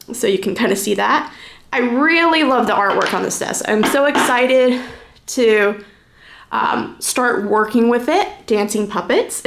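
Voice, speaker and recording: A woman's voice: fairly high pitch, creaky. A woman talking casually and informally as she gives a first-impressions review, thinking aloud as she goes. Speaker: reviewer presenting a card deck.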